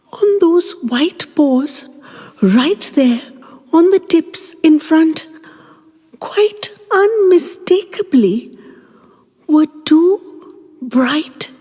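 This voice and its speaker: A woman reading first-person audio fiction in an expressive, measured, literary way with deliberate pauses. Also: sits high, big-room sound. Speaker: storyteller, podcast narrator.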